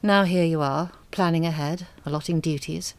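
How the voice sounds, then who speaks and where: An actress in an audio drama playing a reserved, selfless single mother, speaking in a measured, understated and articulate way. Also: low voice. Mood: firm, polite.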